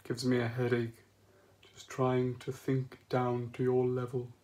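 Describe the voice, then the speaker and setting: A man voicing a depressed male robot who speaks in a slow, mournful, condescending drone. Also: mid-range voice, monotone, darkish tone, lightly acted. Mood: gloomy.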